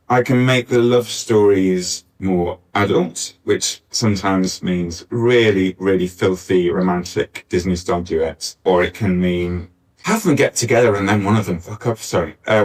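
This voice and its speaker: A man's voice: lowish voice, moderate pace. A man speaking informally on a discussion panel, rambling into tangents. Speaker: panel speaker, pantomime scriptwriter. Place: arts centre venue, live panel. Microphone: distant backup recorder. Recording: distant mic backup live recording.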